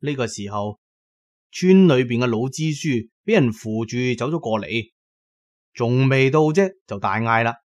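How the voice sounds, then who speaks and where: Narrate as a Cantonese man telling his own story on a radio drama, in a medium-pitched voice, shaping it as dramatised storytelling. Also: animated, a little slow.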